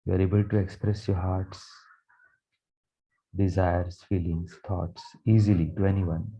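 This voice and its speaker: Male meditation guide: very soft dark tone, calm affirmations with long pauses, somewhat muffled lo-fi recording. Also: sits low, slightly rough. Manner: slow, calm, soothing delivery with long pauses. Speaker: meditation guide, Reiki teacher. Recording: dull, muffled lo-fi sound.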